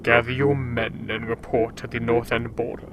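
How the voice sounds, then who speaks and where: A man playing a sadistic, mockingly sweet Frost King in a fantasy audio drama, with a slow theatrical delivery. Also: medium pitch, little pitch movement, a bit bright, a little hiss or hum, close to an everyday voice. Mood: smug, playful.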